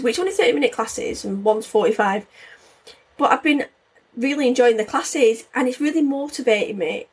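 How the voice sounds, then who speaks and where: Husky-voiced woman podcast host. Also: on the high side. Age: 40s. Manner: chatty and rambling, many fillers. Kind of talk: casual solo podcast chat.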